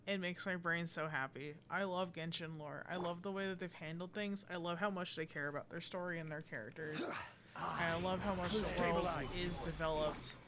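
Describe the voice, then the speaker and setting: A woman's voice: fairly low pitch, fairly even intonation, normal speed, background noise. A woman streaming a game live, chatting casually in a rambling, playfully dramatic way. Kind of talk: casual live gameplay commentary. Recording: game music behind the voice. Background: video game music.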